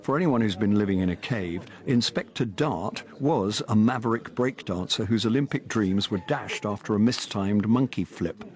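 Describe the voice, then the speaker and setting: An actor playing a wry, unlucky ageing actor with a British accent, dry and sardonic in a radio comedy drama. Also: male voice, lowish voice, normal speed, hardly any pauses, a little mellow, close to an everyday voice. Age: older. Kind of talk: radio comedy drama, confiding narration and dialogue.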